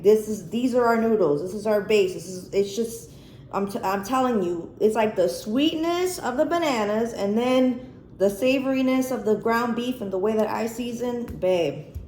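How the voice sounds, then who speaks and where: A woman's voice: mid-range voice, darkish tone. An American woman hosting a cooking vlog, chatting casually and informally to camera with plenty of swearing. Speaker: cooking and chat vlog host. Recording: echoey room, faint background noise.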